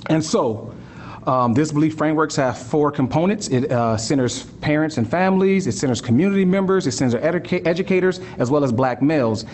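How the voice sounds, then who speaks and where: A man's voice: a little high, expressive, fairly quick, a little mellow, slight background noise. Earnest man giving a talk. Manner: earnest, repetitive rhetorical questions with "right?". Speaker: school district director giving a talk. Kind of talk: live presentation to an audience.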